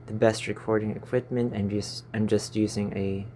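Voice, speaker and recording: Young Filipino-accented man, lowish warm voice, casual rambling delivery with verbal stumbles, phone-recorded. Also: level tone, normal speed, a little hiss or hum. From Philippines. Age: 20s. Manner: stumbling, fumbling over words. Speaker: YouTuber. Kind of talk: casual rambling vlog chat. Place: small stuffy room.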